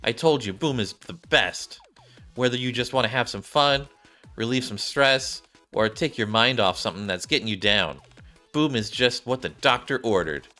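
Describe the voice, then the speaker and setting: A man reading the part of a brash, excitable young female gamer in a video game, teasing and emphatic. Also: mid-range voice, moderate pace, soft background music. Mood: excited, emphatic.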